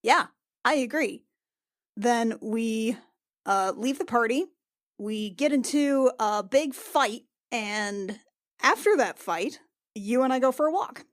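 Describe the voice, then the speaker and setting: A woman playing a frank, teasing young healer in improvised tabletop roleplay, speaking casually and haltingly and swearing freely. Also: on the high side, animated, no room sound, clean studio sound. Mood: teasing, wistful.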